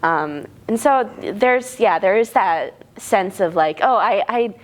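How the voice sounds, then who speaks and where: A woman's voice: mid-range voice, lively intonation, takes it slowly. A young woman in her twenties, an actress from Arizona, talking casually in an interview with frequent fillers, restarts and laughs. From Tucson, Arizona, US. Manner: hesitant, many fillers and restarts, laughs often. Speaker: actress guest.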